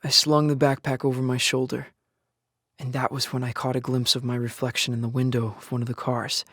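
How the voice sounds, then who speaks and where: A man's voice: medium pitch, level tone, a little fast, sharp tone, dry recording, studio quality. Somber young male survivor. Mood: somber, reflective. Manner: somber, earnest storytelling with anguished outbursts. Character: young male apocalypse survivor, grieving, shaken, searching for his father. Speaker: lead actor and narrator. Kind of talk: first-person audio drama narration with acted scenes. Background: music.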